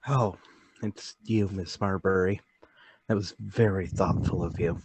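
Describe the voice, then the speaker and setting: A man playing a timid, polite, secretly excited lodger in a radio-play table read, speaking hesitantly and repeating himself. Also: low-pitched, measured pace, husky, exaggerated voice, low-quality recording. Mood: nervous, polite.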